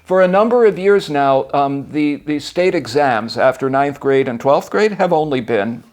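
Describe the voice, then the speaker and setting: A man's voice: a little high, animated, moderate pace, darkish tone. An American man in his sixties, a journalist guest talking bluntly and emphatically in an interview. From United States. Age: 60s. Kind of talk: conversational interview.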